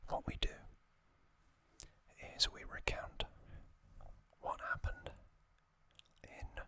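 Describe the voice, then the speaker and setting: Slow, whispered ASMR host. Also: no echo. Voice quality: whispered, breathy, unvoiced. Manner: slow, hushed, deliberate with pauses. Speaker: host of an ASMR trivia channel.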